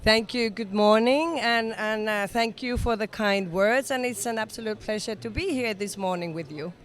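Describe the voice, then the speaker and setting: A woman's voice: a little high, normal speed. A woman in her sixties, a native Finnish speaker from Finland, talking earnestly and encouragingly as a guest in an interview at an event. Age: 60s. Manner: earnest, encouraging, with small restarts. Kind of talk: casual interview. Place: event in Dubai.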